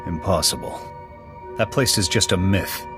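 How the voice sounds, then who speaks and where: Game casting: proud, hot-tempered soldier, low-pitched, gruff and clipped, music underneath. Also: male voice, animated, normal speed. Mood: grim, dismissive. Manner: clipped, military, terse. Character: gruff, proud male military sniper officer, loyal but hot-tempered. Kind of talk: video game dialogue acting. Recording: music behind the voice.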